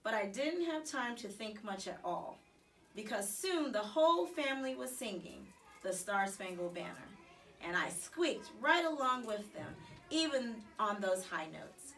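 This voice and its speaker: A woman reading a children's story aloud in an expressive, animated way with dramatic emphasis. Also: on the high side, a little slow, recorded from a distance. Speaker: storybook reader.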